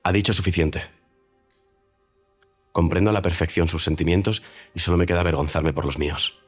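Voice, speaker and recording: An actor playing a haughty, proud gentleman who speaks in a cold, formal and clipped way, with soft music under him. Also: low-pitched, fairly even intonation, a little fast, husky. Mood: stiff. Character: haughty proud gentleman making a stiff proposal. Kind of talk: audio drama acting.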